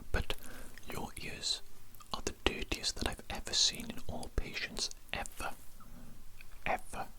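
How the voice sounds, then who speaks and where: A man playing a sinister, contemptuous evil doctor in an ASMR roleplay, in a breathy whisper with slow, repetitive, condescending phrasing. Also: bright, forceful tone. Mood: disgusted, scornful.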